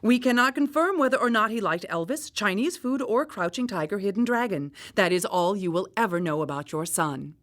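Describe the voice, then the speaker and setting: Woman acting a blunt clinical lady in a radio play, dry sarcasm, pitch moves around a lot. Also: lively intonation, fairly quick, few pauses, fairly bright tone, lightly acted. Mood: clinical, sardonic. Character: bluntly sarcastic woman delivering grim autopsy findings. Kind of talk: radio drama monologue.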